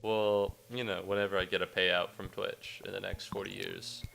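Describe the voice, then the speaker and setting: A man's voice: sits low, flat delivery, moderate pace, vocal fry, fairly bright tone, faint music behind. A young American man, a little sniffly after a cold, chatting in a rambling, self-mocking way to his viewers during a casual game livestream. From United States. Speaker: game streamer. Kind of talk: casual livestream chat while gaming.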